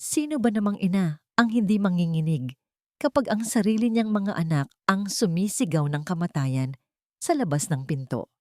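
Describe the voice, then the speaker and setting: A woman's voice: mid-range voice, animated, moderate pace, no echo, clean studio sound. A woman reading a dramatic scripted audio story as its narrator.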